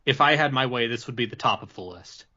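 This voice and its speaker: A man's voice: mid-range voice, expressive, fast, bright, forceful tone. A man co-hosting a casual podcast chat, mostly giving short, terse answers. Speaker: podcast co-host. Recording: dull lo-fi podcast audio.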